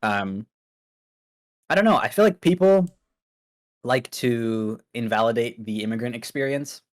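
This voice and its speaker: A man's voice: mid-range voice, lively intonation, measured pace. A young man from Pakistan, Urdu his first language, talking loosely as a podcast guest with lots of fillers. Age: young adult. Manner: laid-back, loose, filler-heavy with many likes and ums. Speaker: guest streamer. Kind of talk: casual podcast interview.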